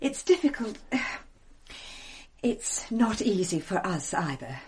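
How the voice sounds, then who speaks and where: An actress playing a genteel, anxious upper-class wife, polite and conciliatory, in a radio drama. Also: female voice, mid-range voice, big pitch swings, slow, darkish tone, slightly rough, exaggerated voice. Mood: placating.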